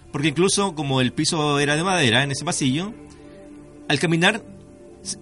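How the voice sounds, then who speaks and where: A man hosts a radio show in a bright, fairly high voice. He talks conversationally, repeating words and tagging on little questions, with music behind him. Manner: halting, repeats words, seeks confirmation with tag questions.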